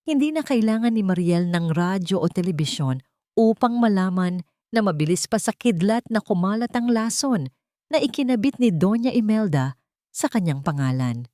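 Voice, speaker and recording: A woman's voice: mid-range voice, expressive, normal speed, warm tone, no echo, clean studio sound. A woman reading a scripted, dramatic story as its narrator.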